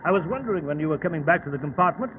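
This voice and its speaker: A male actor plays a blustery, easily startled British gentleman. His high-pitched delivery is fussy and stammering, in old radio drama style. The sound is thin and slightly noisy, as on a 1940s broadcast. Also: animated, very fast, narrow, tinny audio, close to an everyday voice. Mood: puzzled, astonished.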